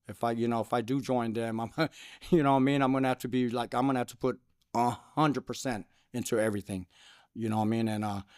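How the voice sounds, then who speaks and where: A man's voice: mid-range voice, a little mellow, no echo. Earnest man, dry close sound. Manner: earnest, repeats phrases, says "you know what I mean". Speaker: car club founder, interview guest. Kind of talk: casual interview.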